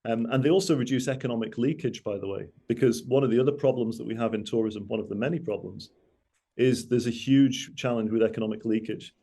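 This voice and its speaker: A man with a Mancunian accent talks through a presentation over a video call. His voice is mellow and warm. He wanders into asides, restarts his sentences and corrects himself as he goes. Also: medium pitch, steady tone, quick pace. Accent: Mancunian English. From Manchester, UK. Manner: rambling, self-correcting, with frequent asides. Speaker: guest speaker.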